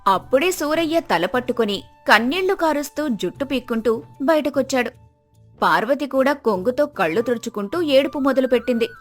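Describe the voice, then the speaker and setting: A woman narrates a scripted story in a high-pitched voice. She works as the storyteller of a moral tale. Soft music runs underneath her. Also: expressive, brisk.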